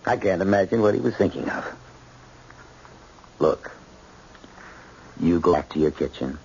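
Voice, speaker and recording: A man's voice: low-pitched, expressive, measured pace, creaky, darkish tone, full character voice. An actor playing a stern but conciliatory middle-aged American father, a judge, in an old-time radio drama. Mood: irritated, firm. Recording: dull old radio broadcast with hiss.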